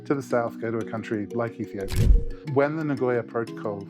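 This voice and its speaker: A man's voice: mid-range voice, brisk, over loud music. A man who grew up in the UK, a guest researcher speaking in a thoughtful interview, often restarting his sentences. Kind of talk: conversational interview.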